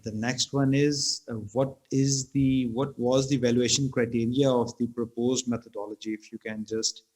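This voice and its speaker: A middle-aged man, chairing a conference Q&A over a video call in a measured way, with fillers. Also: medium pitch, level tone, darkish tone. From Pakistan. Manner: measured, with fillers and repeated phrases. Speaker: session chair. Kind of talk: moderating a conference Q&A. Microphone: laptop mic on a video call.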